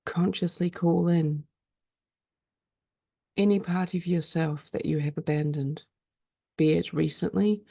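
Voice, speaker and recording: A woman leading a guided meditation in a calm, soothing way, speaking slowly with frequent pauses. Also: low-pitched, gravelly, no room sound.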